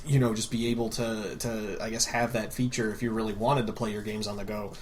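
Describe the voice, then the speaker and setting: A man's voice: lowish voice, little pitch movement, brisk, a loud crowd. A man on a podcast panel, talking through video games in a casual, explanatory, conversational way. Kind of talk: casual group discussion about video games. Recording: faint background noise, room ambience.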